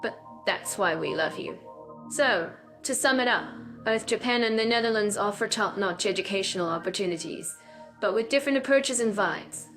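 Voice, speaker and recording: A woman's voice: mid-range voice, lively intonation, moderate pace, fairly bright tone, soft background music. A woman co-hosting a scripted podcast, chatting in an upbeat way with bubbly little interjections. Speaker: podcast co-host.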